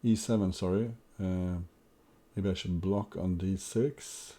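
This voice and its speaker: A man's voice: deep voice, steady tone, takes it slowly, mellow. A man with a Swedish accent, a native Swedish speaker, streaming live chess and thinking aloud over his moves with wry asides.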